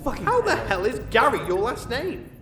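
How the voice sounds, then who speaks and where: An exasperated jailer played by a man in a comic fantasy audio drama. The voice is high and swings up and down as he fussily tries to keep order, heard in a roomy echo. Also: sing-song, fairly quick, far from the mic, music in the background, full character voice. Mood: flustered. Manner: hesitant, fussy, sweary. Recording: roomy echo, off-mic, faint background noise.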